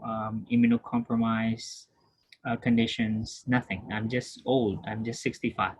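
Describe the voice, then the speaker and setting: Brief: male nursing lecturer, patient and repetitive, mid-pitched voice, light hiss on the recording. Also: darkish tone, poor audio. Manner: patient, repetitive, checks understanding with questions; frequent throat clearing and restarts. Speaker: nursing instructor. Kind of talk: recorded lecture over screen share.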